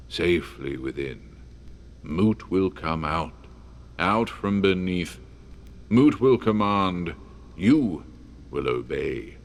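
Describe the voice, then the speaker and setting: A man's voice: low voice, heavily acted. A man playing a menacing, patient, disembodied shadow king in an audio drama, speaking in ominous incantations. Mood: commanding menace. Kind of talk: audio drama villain monologue.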